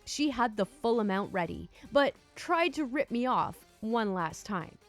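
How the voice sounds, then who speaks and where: A woman narrates online stories with a lively, swooping delivery, her voice sitting a little high. She throws in exasperated, indignant asides between the lines she reads. Faint music runs quietly underneath. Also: unhurried. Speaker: storyteller reading online stories. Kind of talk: story narration with commentary.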